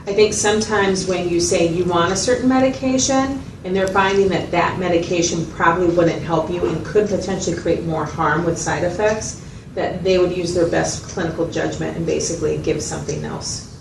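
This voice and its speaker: A woman's voice: on the low side, moderate pace, vocal fry. A woman playing a patient, methodical mental health facilitator who explains step by step and checks understanding in a dramatized consultation. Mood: patient, explanatory. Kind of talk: explanatory one-to-one consultation, role-played. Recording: roomy, distant mic, noisy room sound.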